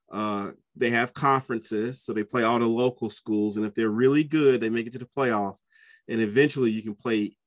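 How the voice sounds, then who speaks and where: Upbeat podcast host, chatty man. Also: no room sound. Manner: enthusiastic, chatty, with sudden upward pitch jumps. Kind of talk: casual podcast interview.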